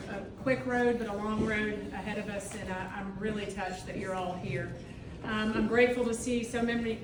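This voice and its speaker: A woman's voice: mid-range voice, background noise. A woman with a Southern US accent giving a grateful, heartfelt campaign speech as a judge running for election. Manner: grateful, heartfelt, slightly halting. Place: large indoor room with a crowd. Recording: echoey room recorded from far off.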